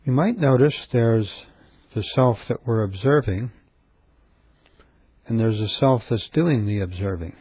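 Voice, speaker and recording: A man leading a guided meditation in a gentle, calm, unhurried manner with frequent pauses. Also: medium pitch, slightly rough, dry recording.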